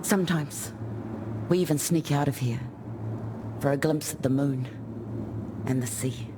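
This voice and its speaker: A man playing an earnest, loyal outsider chieftain in a video game, speaking in a measured, reflective way. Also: a little high, background noise, close to an everyday voice. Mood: wistful. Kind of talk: video game character dialogue. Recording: loud music behind the voice.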